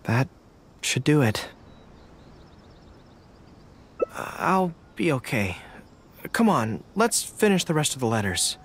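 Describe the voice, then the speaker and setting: Earnest young general, lively pitch. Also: male voice, slight background noise. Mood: troubled, subdued. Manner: earnest, deliberate, formal phrasing. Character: earnest young male dog-eared army general. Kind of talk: video game character dialogue.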